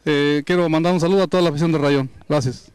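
Ballplayer, quick clear thanks, handheld mic. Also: male voice, fairly high pitch, fairly even intonation, a little mellow. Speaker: baseball player.

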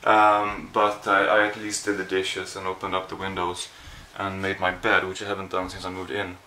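Young man, swedish accent, bright voice, lowish pitch, rambling tired vlog, faint room hum. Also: monotone, moderate pace, low-quality recording. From Sweden. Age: young adult. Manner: rambling, self-deprecating, sleepy. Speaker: vlogger. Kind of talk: casual vlog. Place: small apartment indoors. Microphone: handheld camera at arm's length.

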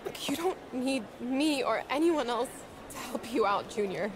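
A woman's voice: a little high, lively intonation, unhurried, background noise. An actress playing a sincere young woman athlete saying farewell in a video game cutscene. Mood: wistful, tender. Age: young adult.

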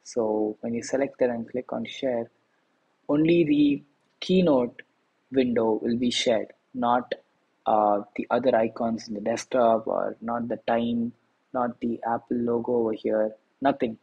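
A man hosting a screen-recorded software tutorial, explaining each step plainly with frequent fillers. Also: mid-range voice, level tone, measured pace, gravelly, breathy edge, muffled recording. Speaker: tutorial host.

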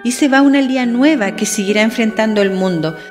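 A woman's voice: a little high, a little fast, faint music behind, lightly acted. A woman playing a woman journalist and news presenter in a Spanish-style radionovela. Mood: tender, emotional. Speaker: radio drama actor. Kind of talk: radionovela acting. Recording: long reverb.

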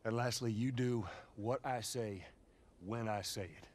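An actor playing a gruff, stubborn middle-aged survivor in a dramatic video game, terse and commanding. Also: male voice, mid-range voice, unhurried, a little mellow, natural voice. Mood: stern, controlled.

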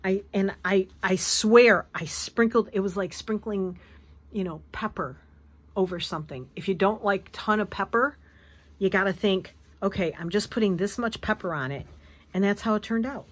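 A woman hosting a craft video, hoarse and wheezy from allergies, chatting casually as she shows fabric at a table. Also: medium pitch, moderate pace. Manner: chatty, rambling, self-correcting. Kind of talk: casual show-and-tell chat. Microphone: camera above a tabletop, speaker off frame.

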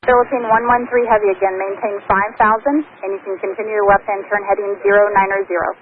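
A woman's voice: mid-range voice, little pitch movement, fast, phone-quality sound. A woman working as an air traffic controller, issuing standard instructions over aviation radio.

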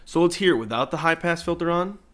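A man's voice: a little high, animated, quick pace, a bit bright, slight background noise. A man narrating a video editing tutorial in an informal, explanatory way. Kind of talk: casual screen-recorded tutorial narration. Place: indoors, voice-over at a computer.

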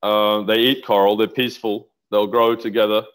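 A man's voice: fairly low pitch, level tone, moderate pace, clean tone, rough recording. A middle-aged man talking enthusiastically over a video call from a home office, rambling with restarts about his research. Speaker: interview guest, aquaculture researcher. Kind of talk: casual video-call interview. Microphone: headset on video call.

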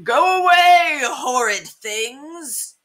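A woman's voice: high voice, lively intonation, very slow, a bit bright, pitched above the performer's own voice, exaggerated voice. A woman voicing a grumpy old male mouse from a children's storybook, with dramatic, exclaiming cries. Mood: angry shouting.

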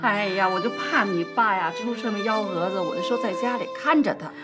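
A woman's voice: mid-range voice, fairly quick, close to an everyday voice. An actress playing a doting, emotional middle-aged mother of a bride in a naturalistic TV drama, fussing and reassuring. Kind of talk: naturalistic television drama acting. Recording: music playing under the voice.

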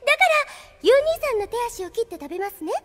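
An actress playing a polite, obsessive teenage little-sister type in an anime drama CD, gentle and chillingly calm in manner. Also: female voice, extremely high pitch, fast, a little hiss or hum. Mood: sweetly menacing.

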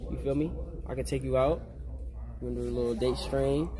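A man's voice: mid-range voice, fairly quick. A man in his twenties from Maryland, chatting casually on a livestream in laid-back, slangy banter. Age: 20s. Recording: lively crowd noise behind.

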